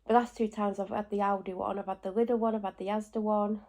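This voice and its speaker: A woman's voice: medium pitch, flat delivery, darkish tone. A woman talks through her morning in a loose, rambling vlog, correcting herself as she goes. She is bunged up with a cold, so her voice comes out stuffy and congested. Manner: rambling, talks in quick asides and self-corrections. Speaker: vlogger. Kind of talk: casual chatty vlog. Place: at home.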